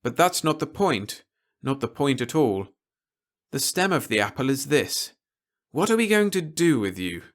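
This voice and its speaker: A man voicing a stern, articulate male official in an audiobook, with emphatic, deliberate, formal phrasing. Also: mid-range voice, very animated, pitched above the performer's own voice. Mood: exasperated, insistent. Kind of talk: audiobook character dialogue.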